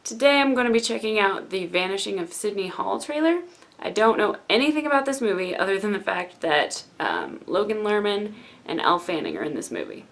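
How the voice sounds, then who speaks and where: A young woman hosting a trailer reaction in a room lined with bookshelves, hoarse from losing her voice and chatting in a gushing, excited way into a desk webcam. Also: medium pitch, a little fast. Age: young adult. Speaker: YouTube reaction host.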